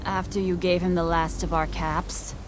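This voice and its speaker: Actress as sardonic low-voiced female wasteland drifter. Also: flat delivery, normal speed, noisy background, close to an everyday voice. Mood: accusing. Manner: sardonic, blunt. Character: cynical, sarcastic female wasteland drifter, world-weary. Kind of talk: video game dialogue.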